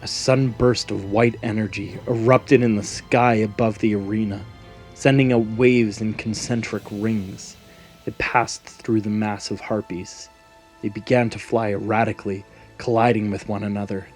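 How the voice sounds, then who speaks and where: A man's voice: fairly low pitch, steady tone, moderate pace, a little mellow. A man narrating a scripted fantasy story in a dramatic storytelling manner. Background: background music.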